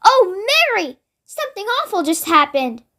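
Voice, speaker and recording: Elderly queen, storybook, prim, proper, very high-pitched, as a child reads her. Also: very animated, normal speed, bright, forceful tone, full character voice. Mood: distressed. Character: well-mannered elderly queen, upset by a nightmare.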